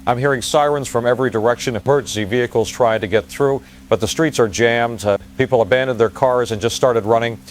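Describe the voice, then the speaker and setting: A man's voice: medium pitch, fairly quick, hardly any pauses, a little hiss or hum, natural voice. A man playing a shaken field reporter giving a hesitant, vivid eyewitness disaster dispatch in an audio drama. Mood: alarmed.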